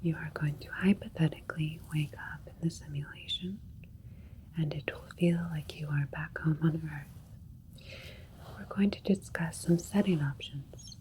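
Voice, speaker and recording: Slow, whispering alien doctor voiced by a woman. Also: low-pitched. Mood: calm, explanatory. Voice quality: breathy whisper. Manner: slow, gentle, reassuring, formal and procedural. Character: calm, clinical female alien doctor aboard a spaceship. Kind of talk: ASMR sci-fi roleplay. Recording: faint steady spaceship ambience noise. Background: soft alien spaceship ambience.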